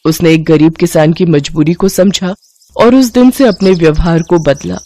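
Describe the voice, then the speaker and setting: A woman's voice: fairly low pitch, moderate pace, mellow, no room sound. A woman narrator reading a scripted moral story for children.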